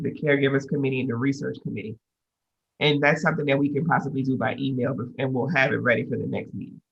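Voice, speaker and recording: A woman chairing a public council meeting, running motions and agenda items in a brisk, procedural way with frequent pauses on "um," speaking over a video call. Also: extremely low pitch, vocal fry, dull audio.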